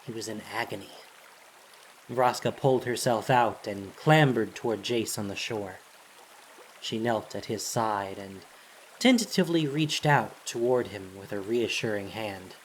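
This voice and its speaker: A man's voice: fairly low pitch, expressive, a little slow, a little hiss or hum. A man reading scripted fantasy narration in a dramatic storytelling style, as the narrator of an audio drama.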